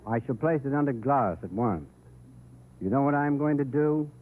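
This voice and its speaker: A man's voice: mid-range voice, animated, normal speed, natural voice. A man acting a pompous, mock-grand hotel man in 1930s radio comedy, on an old tinny broadcast. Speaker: comic guest on a radio variety show.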